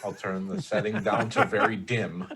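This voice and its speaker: A man's voice: on the low side, fairly even intonation, moderate pace, background noise. A man playing a tabletop game, chatting casually and bluntly about his character's actions. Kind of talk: casual in-character play chat.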